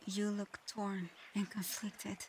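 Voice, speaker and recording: A woman voicing a proud but conflicted dragon girl in human form for an ASMR audio roleplay, soft-spoken, hesitant and earnest. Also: mid-range voice, level tone, slow pace, slight background noise. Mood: solemn, conflicted.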